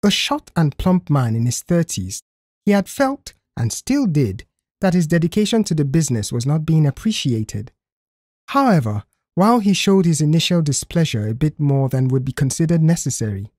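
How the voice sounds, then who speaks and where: A man reading scripted story narration in an expressive, careful storytelling manner. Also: on the high side, no echo, clean studio sound. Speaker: audiobook narrator.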